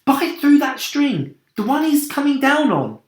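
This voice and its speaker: A man voicing a brave, excited young boy in a children's storybook, calling out urgently. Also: extremely high pitch, unhurried, pitched above the performer's own voice, full character voice. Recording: roomy echo.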